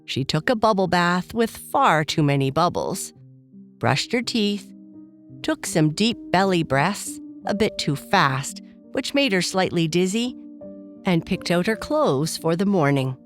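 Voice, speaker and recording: A woman reading a scripted children's bedtime story as narrator, in a gentle, unhurried manner. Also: fairly low pitch, big pitch swings, soft background music.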